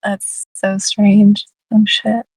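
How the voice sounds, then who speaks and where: Female discussion participant, reflective and tentative, even-toned, dry recording. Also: mid-range voice, drawn-out words, husky, dry recording, rough recording. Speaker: book club discussion participant and facilitator. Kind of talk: casual reflective group discussion.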